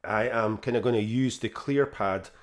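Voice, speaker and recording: A man with a croaky voice from a throat infection, hosting a casual synth tutorial at his keyboard in a home studio, talking haltingly with lots of fillers and restarts. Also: lowish voice. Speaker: synth demo host.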